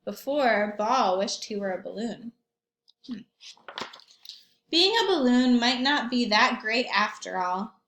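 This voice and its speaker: A woman reading a storybook aloud to young children like a teacher, expressively, pausing for simple questions and comments. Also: a little high, expressive, a bit bright, a little hiss or hum. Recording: roomy echo.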